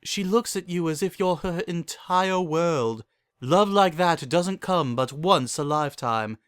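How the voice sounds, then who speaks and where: A man voicing a prim, sharp-tongued middle-aged unicorn noblewoman in a storybook, haughty and reproachful. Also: on the high side, expressive, unhurried, clear, resonant tone, no echo, clean studio sound, voice pushed up. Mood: earnest.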